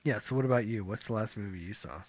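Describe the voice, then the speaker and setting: Deep-voiced joking podcast host man. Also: fast. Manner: joking, relaxed, with chuckles. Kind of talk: casual chat.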